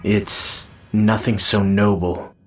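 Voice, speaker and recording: An actor playing a reluctant young warrior in a video game, dry, offhand and sardonic. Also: male voice, fairly low pitch, level tone, slow, faint music behind. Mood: dismissive. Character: young male reluctant warrior hero, cynical and laconic. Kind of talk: video game cutscene acting.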